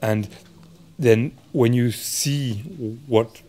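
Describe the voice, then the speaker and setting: A man's voice: mid-range voice, fairly even intonation, extremely slow, warm tone. A man giving a reflective interview, explaining his views earnestly and thoughtfully. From parents from South Africa. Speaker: interviewee, supporter of Rwanda. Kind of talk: reflective interview talk in English.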